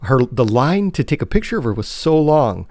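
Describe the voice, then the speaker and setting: A man's voice: medium pitch, big pitch swings, normal speed, a little mellow, dry recording. A man co-hosting a podcast, chatting informally and enthusiastically like a fan. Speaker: podcast co-host. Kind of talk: casual chat about TV shows.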